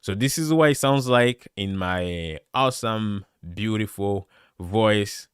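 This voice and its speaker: A man with a deep, bassy voice, chatting excitedly with lots of fillers as he reviews microphones on a live stream. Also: slow, smooth, dry recording, studio quality. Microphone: studio dynamic microphone close up.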